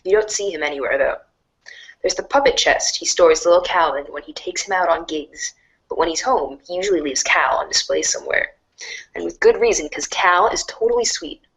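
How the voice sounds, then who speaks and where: A woman's voice: lowish voice, expressive, fairly quick, slightly rough, poor audio. A woman narrator reading a dramatic storybook-style script.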